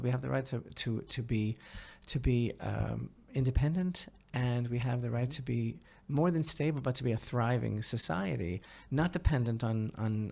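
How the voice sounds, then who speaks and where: A man co-hosting a podcast, speaking emphatically in halting bursts and piling up synonyms as he comments. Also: mid-range voice, vocal fry. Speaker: podcast co-host.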